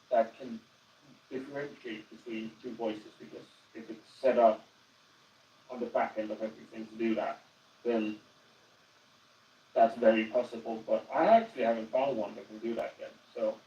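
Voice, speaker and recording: A man with a Danish accent gives an animated, casual walkthrough of AI phone agents on a live stream, often restarting his sentences. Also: mid-range voice, far from the mic, highs cut off. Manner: animated, explanatory, with many restarts. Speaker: guest explaining AI phone agents. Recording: roomy echo, voice away from the mic.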